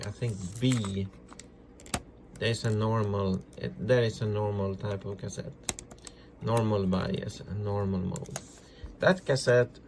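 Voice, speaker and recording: A man presenting a casual hands-on repair tutorial, talking through each step in halting, simple non-native English. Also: mid-range voice, darkish tone, a little hiss or hum. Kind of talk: casual hands-on demonstration, narrating as they work.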